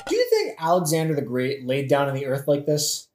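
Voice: medium pitch, wildly swooping pitch, a little fast, darkish tone. An actor in a satirical audio play playing an exasperated, brooding young prince who talks in a casual, sweary, dramatic way. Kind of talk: satirical modern-language audio play acting. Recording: echoey room with faint noise.

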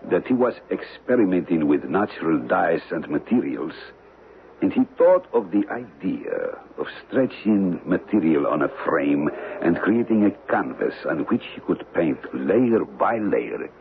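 An actor playing a shady, calculating smuggler in a radio mystery, speaking deliberately and formally with dramatic pauses. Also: male voice, low-pitched, very animated, gravelly, heavily acted. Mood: scheming, persuasive. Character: shady, calculating male smuggler of stolen antiquities. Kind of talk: old-time radio mystery acting. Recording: muffled, noisy old radio broadcast recording.

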